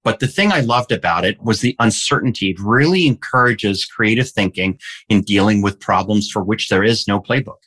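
A native Danish speaker in his fifties, raised in Denmark, answering interview questions at an unhurried, reflective pace. His voice sits very low and settles into a deep, rumbling register. He tells his story steadily, thinking as he goes. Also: a bit bright. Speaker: guest. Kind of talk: conversational interview.

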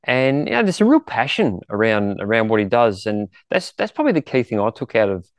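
A man with a fairly low voice gives casual co-host commentary. He is reflective and appreciative, repeating words as he thinks, in a dry, close recording. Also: big pitch swings, a little fast, dry recording. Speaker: podcast co-host.

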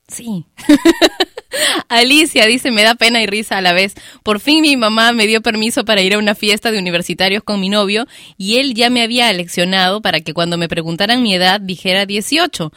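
A female radio host with a bright, sharp voice, chatting through listener messages and giggling as she goes. She is close on a studio microphone, and the sound is dry and close. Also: mid-range voice, fairly quick, no echo. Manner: chatty, giggles between stories. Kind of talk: casual radio chat reading listener messages.

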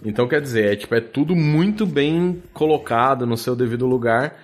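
A man's voice: mid-range voice, expressive, brisk. A man with a Brazilian accent talking casually as a podcast guest, informal and slangy, with a joking touch. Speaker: podcast guest, audio drama producer. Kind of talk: casual conversational interview.

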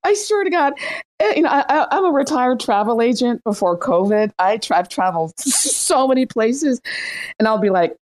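A middle-aged woman hosting a casual online audio chat. She is lively and chatty and laughs often. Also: a little high, normal speed. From Iran. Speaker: host.

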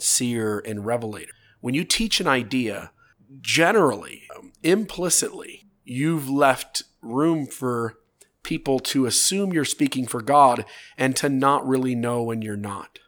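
A man with a touch of a cold in his voice, talking earnestly and at length. He stacks point after point in long lists and keeps checking in with his listener. His pitch sits in a middling range. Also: fairly bright tone. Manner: earnest, building arguments in long lists, frequent rhetorical "right?". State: has a slight cold. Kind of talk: casual talk to camera or podcast.

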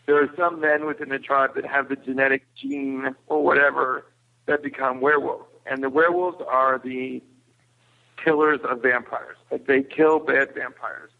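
Man on a tinny phone line, creaky voice. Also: medium pitch. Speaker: podcast guest. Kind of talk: casual film recap discussion.

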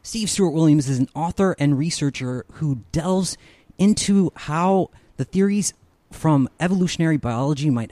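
A man's voice: fairly high pitch, no echo. A man hosting a podcast, introducing his guest and asking questions with enthusiasm. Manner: enthusiastic, rising into excited questions. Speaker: podcast host. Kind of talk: podcast interview.

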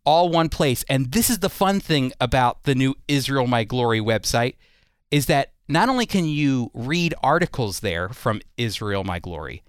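A man's voice: mid-range voice, clear, resonant tone, dry recording. An American man hosting a radio program, presenting news and interviewing a guest at a studio microphone. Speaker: radio host. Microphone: close studio microphone.

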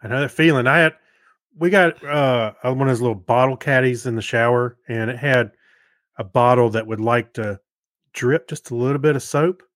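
A man's voice: medium pitch, moderate pace, fairly bright tone, no echo, studio quality. A man chatting casually as a podcast co-host in an easygoing, agreeable way. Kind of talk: casual chat.

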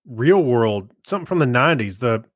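A man's voice: medium pitch, expressive, fairly quick, no echo, studio quality. A man co-hosting a podcast, chatting and reading items in an easygoing, hesitant way over music. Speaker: podcast co-host. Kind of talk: casual chat and reading out items.

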